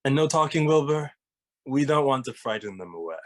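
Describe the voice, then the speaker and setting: A man playing a weary, serious ghost detective with a deadpan manner in an animated comedy table read. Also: mid-range voice, fairly quick, close to an everyday voice. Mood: resigned. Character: weary, serious male ghost detective, older-brother type.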